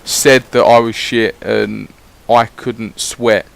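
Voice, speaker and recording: A man's voice: mid-range voice, flat delivery, slow, gravelly. A man giving casual, rambling voice-over commentary on his gameplay, swearing freely as he chats. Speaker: gaming YouTuber.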